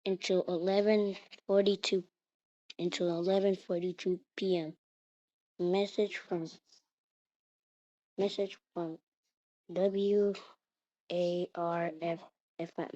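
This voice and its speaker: Woman, mock emergency announcer, slightly low voice, halting delivery, very dry close sound, muffled lo-fi recording. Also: level tone, mellow, no room sound. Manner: halting, with repeated phrases.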